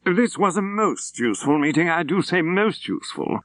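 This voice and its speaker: Man acting a high-pitched, effusive English solicitor. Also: very animated, normal speed, a bit bright, no echo, clean studio sound. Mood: effusive, courteous. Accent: British. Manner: effusive, courteous. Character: short, round, effusive English male solicitor.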